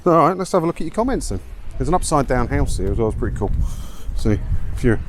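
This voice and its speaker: A man's voice: mid-range voice, very animated, fast talker. Chatty English man vlogging outdoors, some street noise. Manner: chatty, rambling, self-deprecating, grumbling. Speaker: video blogger, host. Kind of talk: casual walk-and-talk vlog. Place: outdoors, walking along a windy seaside town street. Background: wind, street and vehicle noise.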